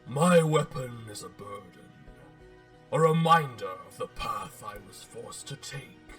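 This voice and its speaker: A man's voice: mid-range voice, animated, measured pace, fairly bright tone, distant mic, faint music behind. A man voicing an eloquent, pompous robot warlord who speaks with theatrical, polite menace in a dramatic comic book reading. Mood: solemn, zealous.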